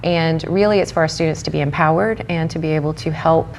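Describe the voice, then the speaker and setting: A woman's voice: deep voice, fast, a little mellow. A woman in her forties, a program director from Mexico, answering interview questions earnestly and enthusiastically. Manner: earnest, enthusiastic, with filler ums. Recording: faint background noise.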